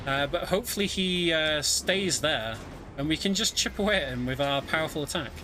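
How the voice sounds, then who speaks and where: Bright-voiced man commentating a video game. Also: a little high, animated, fairly quick, slight background noise. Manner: chatty, wry storytelling. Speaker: speedrunner commentating own run. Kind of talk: live gaming commentary. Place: home setup, streamed online event. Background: game music.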